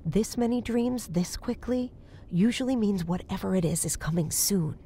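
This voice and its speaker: A woman's voice: mid-range voice, mellow, a little hiss or hum, lightly acted. An actress playing an earnest, uneasy woman psychic in a horror audio drama, speaking in a hesitant, naturalistic way. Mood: earnest, concerned. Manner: hesitant, halting. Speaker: voice actor.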